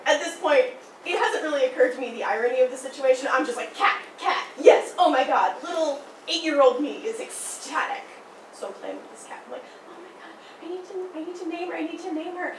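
A young woman telling a personal story in a casual, animated way, acting out the people she quotes. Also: sits high, brisk. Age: young adult. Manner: animated, acts out quoted voices. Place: small indoor room by a theatre poster. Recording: roomy, distant, noisy.

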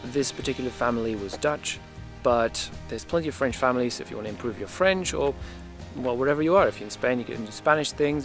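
A young man narrating a vlog in a casual, relaxed, informal way, explaining things as he goes. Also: mid-range voice. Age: young adult. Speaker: vlogger. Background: music.

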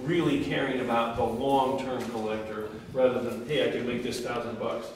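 A man's voice: mid-range voice, steady tone, recorded from a distance, noisy background. Man speaking from across an echoey gallery room. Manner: chatty, anecdotal, quick to laugh. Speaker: print collector on a panel. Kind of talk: casual panel discussion, storytelling. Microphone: far camera.

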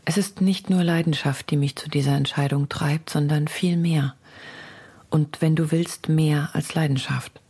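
A woman's voice: deep voice, steady tone, unhurried, dark tone, husky, exaggerated voice. An actress playing a woman writer confiding an intense, resolute love letter, intimate and half-whispered, for a radio feature. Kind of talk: dramatic reading of a personal letter in a radio feature. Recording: music under the voice.

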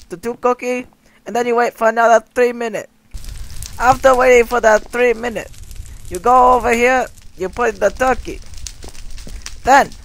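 Male performer voicing a zany, squeaky-voiced cooking host; rambles, trips over words. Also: fairly bright tone, heavily acted, low-quality recording. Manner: rambling, silly, self-interrupting. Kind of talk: comedic game-video voice acting.

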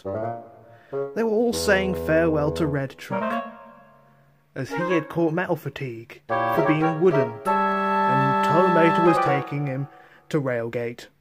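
A man narrating a homemade toy-train story in a rambling, offhand, crude comedic way, with music behind. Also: on the high side. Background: background music.

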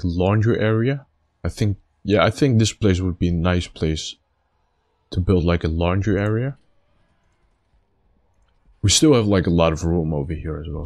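A man with a slightly raspy, stuffed-up voice giving casual gameplay commentary, thinking aloud in a halting way. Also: deep voice, darkish tone. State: stuffy nose after being sick. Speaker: gaming video host.

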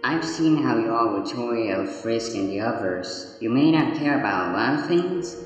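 Man voicing echoing, gentle, earnest talking flower. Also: medium pitch, animated, moderate pace, close to an everyday voice. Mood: earnest, kind. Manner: hesitant, earnest. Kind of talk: comic dub acting. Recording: cavernous reverb over background music.

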